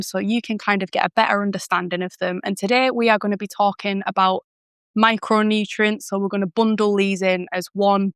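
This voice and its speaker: A woman who is unwell and croaky, explaining a topic in a chatty, informal way as the host of a channel. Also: mid-range voice, a bit bright, dry recording, studio quality. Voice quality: gravelly. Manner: chatty, informal, with fillers and self-corrections. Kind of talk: educational explainer.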